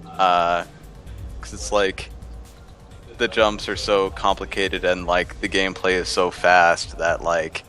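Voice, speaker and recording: A deep-voiced man talks through his gaming history in a loose, meandering way, leaning on "like" as he goes. Faint music plays underneath him. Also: little pitch movement, slow pace, sharp tone. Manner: rambling, filler-heavy with frequent "like". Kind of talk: casual gaming chat.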